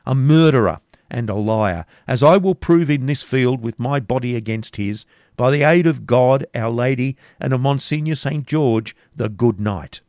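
A man's voice: mid-range voice, a little mellow, no room sound, studio quality, pitched above the performer's own voice, natural voice. A man voicing a bold champion knight declaiming.

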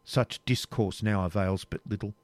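A man reading an audiobook, voicing a proud medieval knight in a grave, measured manner. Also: lowish voice, steady tone, no echo, natural voice. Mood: stern. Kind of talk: audiobook reading, period novel.

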